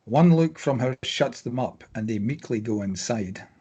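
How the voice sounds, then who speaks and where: Husky man reading stage directions. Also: mid-range voice, animated, normal speed, mellow. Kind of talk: scripted narration of screenplay directions.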